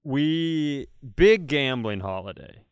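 A man's voice: medium pitch, animated, takes it slowly, clean tone, no echo, clean studio sound. A man co-hosting a comedy podcast, telling casual comic stories with profane, jokey banter.

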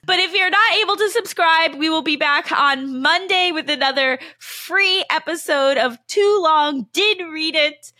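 A woman's voice: extremely high pitch, a little slow, hardly any pauses, bright, forceful tone. A woman co-hosting a casual comedy podcast, giggly and prone to talking through laughter. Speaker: podcast co-host.

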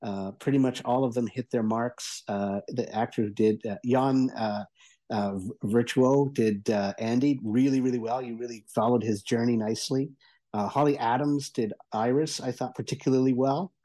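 A man speaking as a guest reviewer in a conversational discussion, haltingly, repeating words for emphasis. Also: medium pitch, fairly even intonation, mellow.

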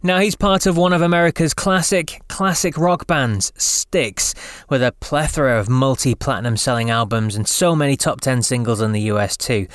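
A man's voice: mid-range voice, moderate pace, fairly bright tone, dry recording, clean studio sound. An English man with a slight Scottish lilt, hosting a rock podcast, chatty and upbeat, reading his intro then chatting with his guest. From England. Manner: chatty, upbeat, laughs easily. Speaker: podcast host. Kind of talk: scripted intro then casual interview.

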